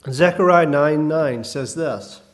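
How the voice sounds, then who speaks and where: A man preaching a sermon, hoarse from a cold, talking in a folksy, halting way with many fillers. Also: on the high side.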